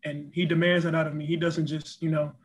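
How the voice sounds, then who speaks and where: A man's voice: fairly high pitch, flat delivery, fairly quick. A young man, a college basketball player, answering post-game press questions over a video call, earnest and full of "you know" and repeated words. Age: young adult. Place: press backdrop room, indoors.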